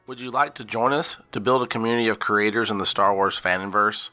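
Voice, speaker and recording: Lowish bright-voiced man reading rules. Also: little pitch movement, faint music behind. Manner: measured, reads out rules like a charter. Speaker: channel co-founder. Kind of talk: scripted announcement reading.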